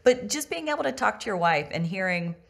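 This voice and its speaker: A woman's voice: mid-range voice, lively intonation, a little fast, vocal fry. A woman hosting a conversational interview, speaking in an effusive, affirming way to her guest. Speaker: interview host.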